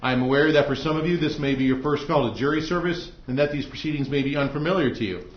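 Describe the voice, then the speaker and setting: A man with an American accent speaking as a judge, formally addressing jurors with halting hesitations. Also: a little high. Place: indoor courtroom. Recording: echoey courtroom, dull muffled audio.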